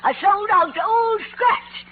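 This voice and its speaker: A woman playing a taunting, cackling old witch in a 1940s radio drama, on a tinny, noisy old recording. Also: slow pace. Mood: gloating, taunting. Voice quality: shrill, cackling.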